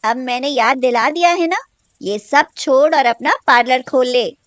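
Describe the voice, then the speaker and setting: A wealthy, self-assured cartoon lady performed by a woman, generous and a little bossy. Her high voice is emphatic and brisk, recorded close and dry. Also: fairly bright tone, dry recording. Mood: firm, encouraging. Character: rich, confident woman, generous and bossy old school friend.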